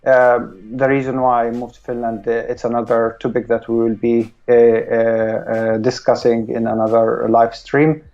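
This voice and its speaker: A man's voice: medium pitch, monotone, normal speed, few pauses, darkish tone, muffled recording. A man from Palestine hosting a live stream, talking casually and hesitantly with many filler sounds.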